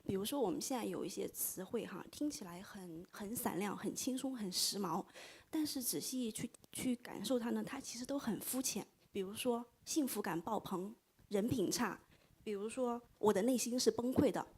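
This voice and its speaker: Thirties woman, native Chinese speaker, audience questioner; husky, soft, hesitant, middle pitch. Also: moderate pace. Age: 30s. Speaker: audience member asking a question. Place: bookstore event space.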